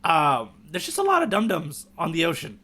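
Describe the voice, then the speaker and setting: Bright-voiced man chatting on a podcast. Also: fairly high pitch, huge pitch swings, moderate pace. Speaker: podcast co-host guiding a manga readalong. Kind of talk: casual chat.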